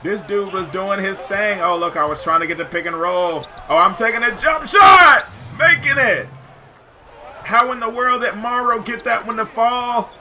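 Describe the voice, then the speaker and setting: A man giving excited, slangy live commentary over basketball video game play, shouting at big plays, his voice getting hoarse. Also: high-pitched, normal speed, background noise. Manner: slangy, says "yo" often. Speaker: gaming YouTuber.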